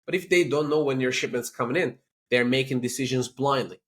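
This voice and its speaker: Man, Turkish accent, interview guest, mid-pitched voice, animated explaining, lots of "you know". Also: brisk. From Turkey. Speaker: company CEO, podcast guest. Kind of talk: business interview.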